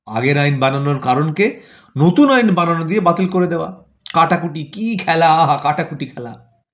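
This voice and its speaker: A man's voice: a little high, animated, normal speed, a bit bright. A man narrating a satirical audio play theatrically, in rhythmic, repeating phrases with a note of mock wonder.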